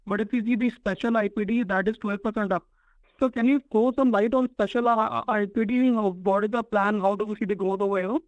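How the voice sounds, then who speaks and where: A man's voice: sits high, level tone, fairly quick, no echo. A man, an analyst asking polite, deferential questions on a muffled lo-fi call line.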